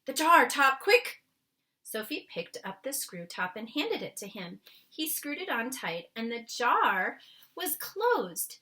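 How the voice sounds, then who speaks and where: A woman reading a children's book aloud as narrator, with dramatic, expressive phrasing. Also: on the high side, slow pace. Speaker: storybook reader.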